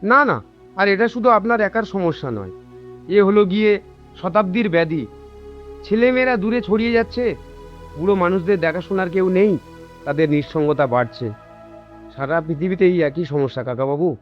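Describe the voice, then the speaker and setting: An actor playing a considerate younger family friend in a radio drama, speaking politely and reassuringly. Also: male voice, high voice, normal speed, long pauses, lightly acted. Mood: sympathetic. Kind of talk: Bengali radio drama acting. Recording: dull lo-fi audio with background music.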